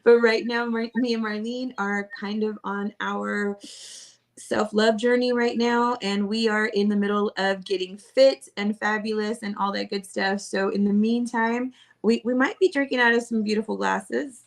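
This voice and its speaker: A woman's voice: medium pitch, flat delivery, moderate pace. A woman co-hosting a casual podcast chat, bubbly and teasing, laughing often. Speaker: podcast co-host.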